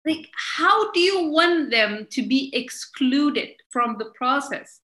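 A woman talks in a roomy, echoing space. Her voice is bright and forceful and sits a little high. She stresses her points with energy and stumbles through fillers as she builds her answers. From Somalia. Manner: emphatic and animated, halting with many fillers and laughs. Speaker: guest, member of parliament. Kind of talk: conversational interview answers.

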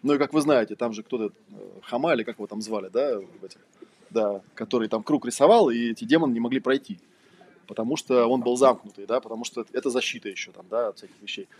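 A man's voice: medium pitch, quick pace, darkish tone, slightly rough. A man giving an informal lecture, conversational and rambling, telling anecdotes with a wry manner. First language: Russian. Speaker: trainer, lecturer.

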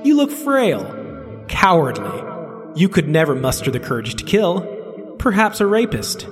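Mocking scarred demon-man with a high, lively, sarcastic delivery, done by a male narrator. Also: unhurried, music in the background. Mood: teasing. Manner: teasing, sarcastic.

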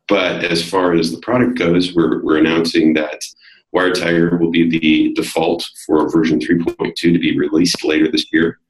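A man with a deep, slightly worn voice speaks as a podcast guest. He explains technical points in a relaxed way, full of little fillers. The recording carries a roomy echo. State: slightly hoarse. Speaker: guest, developer advocate. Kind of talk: casual technical interview.

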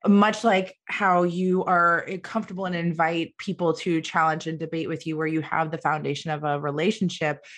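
A woman with a slightly low voice, speaking as an interview host. She is conversational and affirming, mirroring thoughts back to the other person as she talks. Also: little pitch movement, normal speed, hardly any pauses, fairly bright tone. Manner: affirming, reflects back what was said.

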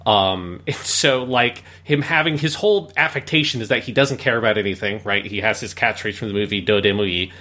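Male podcast host, lowish pitch, crisp bright tone, casual and analytical critic. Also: fairly quick, few pauses. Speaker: podcast host reviewing media. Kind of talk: casual review discussion.

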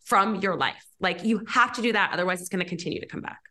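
A woman hosting a podcast, candid and animated, sharing personal stories as she chats with her guest. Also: mid-range voice, quick pace. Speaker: podcast host. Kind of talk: conversational podcast interview.

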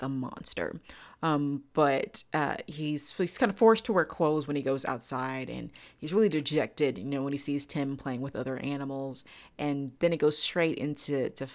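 A woman's voice: sits low, creaky. A woman reviewing in a casual podcast style, frank, profane and enthusiastic.

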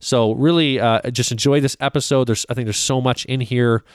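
A man's voice: medium pitch, fairly even intonation, normal speed, dry recording, studio quality. A man from Canada hosting an interview podcast, friendly and rambling, with many fillers and restarts. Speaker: podcast host.

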